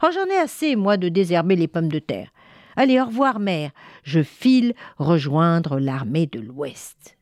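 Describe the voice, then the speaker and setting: A woman's voice: fairly low pitch, big pitch swings, unhurried, darkish tone, no room sound, studio quality. A woman storyteller voicing an eager, restless young peasant son who exclaims and pleads. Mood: fed up.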